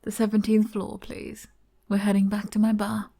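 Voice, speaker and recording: A woman playing a flirty, gentle arbiter bartender in an ASMR roleplay, soft-spoken and coy as she explains things patiently. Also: mid-range voice, monotone, normal speed, vocal fry. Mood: playful, chatty.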